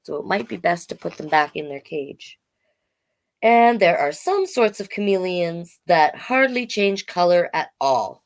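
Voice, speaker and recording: Expressive woman reading aloud at storytime, lively pitch. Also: measured pace. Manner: expressive, playful, explains to young listeners. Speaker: host reading a children's picture book. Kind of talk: storytime read-aloud with chatty asides.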